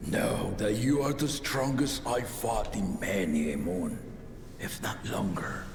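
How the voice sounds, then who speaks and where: Game VO: old warrior king, gravelly, very slow, low, roomy game mix with ambience. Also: a little hiss or hum. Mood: commanding. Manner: grand, measured declarations. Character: huge old male warrior king, proud and battle-loving. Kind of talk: video game voice acting.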